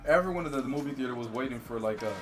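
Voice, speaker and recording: A young man in a casual, profane fan chat about a movie, talking in an animated, slangy way. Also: medium pitch, rapid-fire, soft background music. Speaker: co-host of a movie review.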